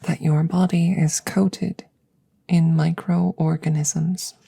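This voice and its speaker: A woman voicing a curious, cautious alien scientist in a soft, hushed ASMR roleplay, speaking formally and deliberately and slipping into whispers. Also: low-pitched, level tone, creaky, slightly rough, heavily acted. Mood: worried. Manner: measured, formal, deliberate phrasing.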